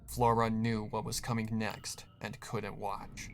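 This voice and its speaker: A man's voice: on the low side, completely flat delivery, a little slow. A man reading a scripted horror story as a dramatic narrator. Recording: faint background noise.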